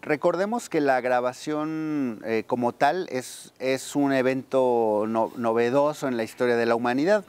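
A man with a clear, resonant voice of medium pitch. He explains things as an interviewee, thoughtful and hesitant as he searches for words. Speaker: archive deputy director, interviewee. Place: garden outdoors. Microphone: lapel.

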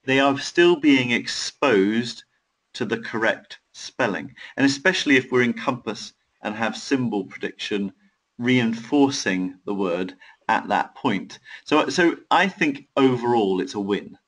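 A man hosting a live software webinar, often repeating words as he explains and reads out viewer comments. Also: mid-range voice, lively intonation, unhurried. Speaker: webinar host.

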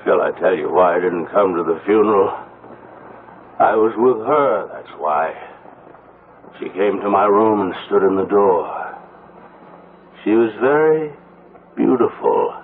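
Drunk haunted brother in an old radio play, slurry and intense, noisy tinny recording. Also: male voice, low-pitched, lively intonation, unhurried, pauses often. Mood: haunted, brooding. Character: drunk, anguished, superstitious brother raving about vampires. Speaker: radio drama actor. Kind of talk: 1970s radio drama acting.

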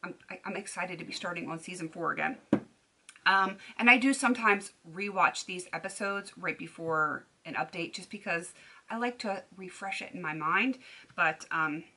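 Woman in her 30s, casual beauty vlogger, bright tone with a slightly low pitch, rambling delivery. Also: normal speed, a little hiss or hum. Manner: chatty and rambling, many ums and restarts. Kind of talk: casual chat to camera. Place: bedroom at home. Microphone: camera at desk distance.

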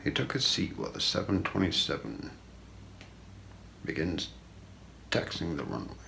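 A middle-aged man reading an ASMR true-crime story in a hushed, deliberate way, often slipping into a near-whisper. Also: low-pitched, big pitch swings, gravelly. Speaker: ASMR role-play narrator. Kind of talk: ASMR true-crime narration read from notes. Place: small room indoors. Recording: faint background noise.

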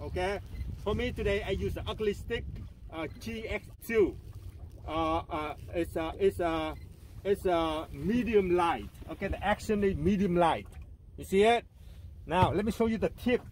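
A man hosting a casual instructional fishing vlog out on a small open boat, explaining haltingly with repetition and frequent check-ins. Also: high voice, slight background noise. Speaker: host of a fishing channel. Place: small open motorboat out on a bay.

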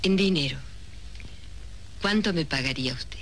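An actress playing an ambitious young woman in a 1970s soap opera, speaking in a deliberate, dramatic way. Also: deep voice, animated, fairly bright tone, full character voice. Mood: cold, calculating. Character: ambitious, cold young woman from a humble neighbourhood. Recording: noisy old 1970s TV soundtrack.

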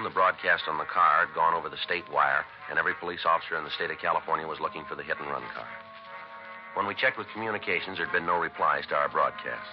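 An actor playing a terse, deadpan American police detective in a low-key 1950s radio drama. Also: male voice. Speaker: police sergeant and narrator. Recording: dull, noisy 1950s radio recording.

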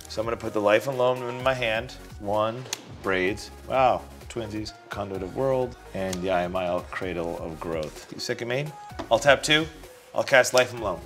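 A man's voice: on the low side, a bit bright. A man at a card game table, joking and talking through his turn step by step in casual chat. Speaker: player. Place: indoor studio at a game table.

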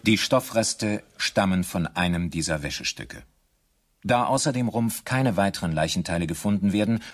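An actor as the narrator of a historical crime audio drama, formal, sober and factual. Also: male voice, sits low. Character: sober male narrator of a historical murder trial. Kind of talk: scripted documentary-drama narration.

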